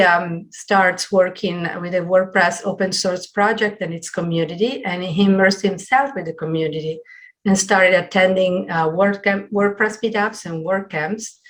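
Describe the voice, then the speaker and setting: A middle-aged woman hosting a webinar on a video call from a small room at home, introducing things in a halting, partly read way. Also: fairly low pitch, rough recording. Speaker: webinar host. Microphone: computer video call.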